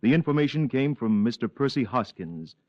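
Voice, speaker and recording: A man's voice: mid-range voice, lively intonation, fairly quick, no room sound. A man giving a formal closing announcement for a radio program, on a dull old recording. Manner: formal, declamatory.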